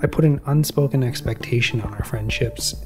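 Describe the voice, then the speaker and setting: A man hosting a podcast, narrating in a measured, reflective and personal way. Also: medium pitch, music in the background. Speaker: podcast host.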